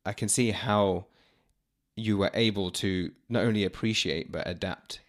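A man hosting an interview, calm and reflective, giving short affirmations and sharing family stories. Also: on the low side, unhurried. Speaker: podcast host.

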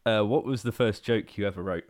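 A man's voice: fairly low pitch, fairly quick, dry recording, studio quality. A man hosting a podcast, easy-going, laughing often and asking short questions in a casual interview. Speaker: podcast host.

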